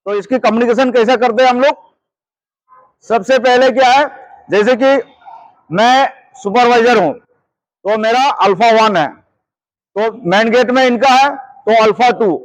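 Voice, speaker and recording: High-pitched man giving hands-on instruction. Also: a little slow, pauses often, no room sound, low-quality recording. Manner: repetitive, checks understanding often. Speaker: security guard trainer.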